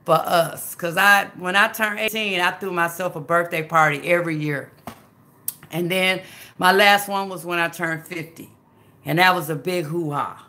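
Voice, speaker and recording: A woman's voice: lowish voice, steady tone, moderate pace, sharp tone. A woman in her fifties from Memphis with a Southern accent, chatting folksily with her livestream viewers and calling them baby and girl. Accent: Southern American. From Memphis, USA. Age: 50s. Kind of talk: casual livestream chat.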